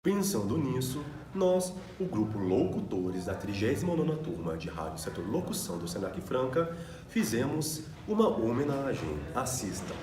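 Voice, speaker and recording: A man's voice: mid-range voice, big pitch swings, normal speed, a little mellow. A man with a Brazilian Portuguese accent presenting a student radio program as co-host, in a semi-scripted style. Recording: roomy echo, faint background noise.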